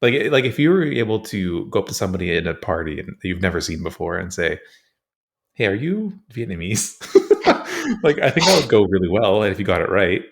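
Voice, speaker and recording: A young man with a very deep voice co-hosts a comedy podcast. He talks in a laid-back, easygoing way, full of fillers and frequent laughter. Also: wildly swooping pitch, a little fast, creaky. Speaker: podcast co-host. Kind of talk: casual comedic chat.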